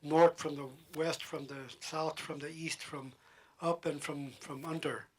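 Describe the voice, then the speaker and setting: Slow, measured man leading a prayer. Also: fairly high pitch, level tone, slightly rough. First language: Pueblo language. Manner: slow, measured, with frequent pauses and fillers. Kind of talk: spoken prayer and explanation to students. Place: town hall meeting room.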